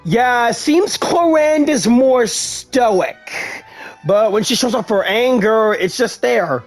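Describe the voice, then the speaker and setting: A woman's voice: a little high, lively intonation, slow pace. A woman giving casual commentary while playing a video game. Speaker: game streamer. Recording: faint game music behind.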